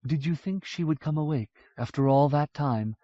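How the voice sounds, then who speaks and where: Man playing young grasslands mother, soft, hushed and teasing, dry lo-fi sound. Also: on the high side, moderate pace, no echo, pitched above the performer's own voice, lightly acted. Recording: dull lo-fi audiobook recording.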